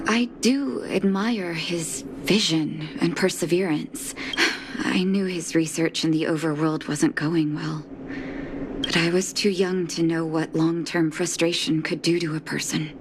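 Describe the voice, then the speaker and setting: Game doctor: lower-pitched, measured, weary but earnest, over noisy scene ambience. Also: female voice, fairly even intonation. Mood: somber, regretful. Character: composed, weary woman doctor of an underworld clinic. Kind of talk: video game cutscene acting.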